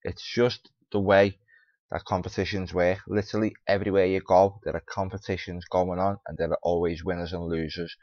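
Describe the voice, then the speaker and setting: A man with a very low, flat voice reads out and comments on a piece for his video, tripping over words now and then. The sound is dry, dull and lo-fi. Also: fairly quick, no room sound. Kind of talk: reading an article aloud with off-the-cuff commentary.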